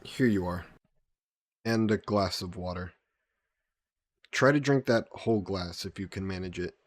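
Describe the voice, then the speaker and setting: A man playing a gentle, guilt-burdened vampire caretaker in an intimate audio roleplay, speaking politely and formally with some hesitation. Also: deep voice, measured pace, a little hiss or hum. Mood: attentive, caring. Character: gentle, guilt-burdened male vampire employer caring for a guest.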